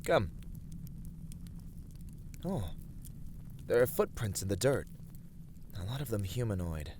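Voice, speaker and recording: Low-voiced, earnest fantasy adventurer acted by a man. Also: sing-song, slow, darkish tone, slight background noise. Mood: wary. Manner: formal old-fashioned phrasing, thoughtful, hesitant. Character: earnest, courteous young fantasy adventurer from a tavern family. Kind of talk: ASMR fantasy roleplay acting.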